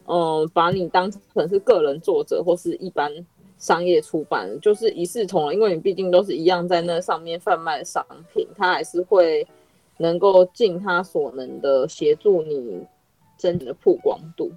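A woman with a Taiwanese Mandarin accent hosting casual podcast chat in a measured, even-handed way. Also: fairly low pitch, faint music behind.

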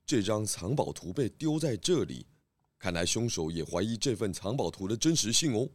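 A man's voice: on the low side, very animated, moderate pace, dry recording. A man voicing a confident pig detective in a children's audio drama, composed, knowing and theatrical. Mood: calm deduction.

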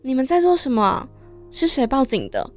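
A woman acts out a shy young woman in a radio drama. Her voice is high, polite and a bit hesitant, with light music underneath. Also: wildly swooping pitch, a little fast. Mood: puzzled. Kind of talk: audio drama acting.

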